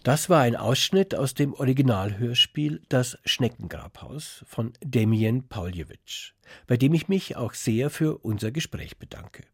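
Gravelly, husky male radio host. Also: mid-range voice, lively intonation, unhurried. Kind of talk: analytical interview talk and scripted sign-off.

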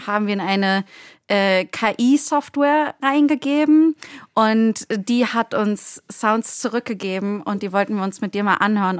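A woman's voice: medium pitch, no echo. Curious woman interviewer, casual and dry-sounding. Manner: curious, informal. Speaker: interviewer, co-host. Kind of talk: casual chat. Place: radio drama studio.

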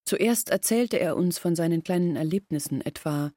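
An actress playing a grieving young village woman who tells of her family's downfall in an earnest, measured radio drama narration. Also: on the low side, warm tone, no echo, clean studio sound, natural voice. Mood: sorrowful, earnest.

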